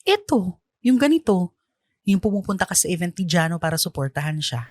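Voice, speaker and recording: A woman's voice: fairly low pitch, big pitch swings, a little fast, no echo. A woman playing a sassy, teasing best friend in a radio drama, with playful, exaggerated, slangy delivery.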